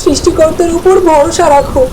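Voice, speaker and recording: A woman's voice: extremely high pitch, steady tone, brisk, dark tone, a little hiss or hum, rough recording. A woman voicing a worried, pious village farmer's wife in a melodramatic cartoon, with a plaintive, pleading delivery. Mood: gentle, devout.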